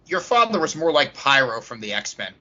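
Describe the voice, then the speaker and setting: Guy on a gaming podcast, punchy bright voice, slightly lo-fi. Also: mid-range voice, sing-song, moderate pace. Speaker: podcast host. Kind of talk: casual tabletop gaming chat.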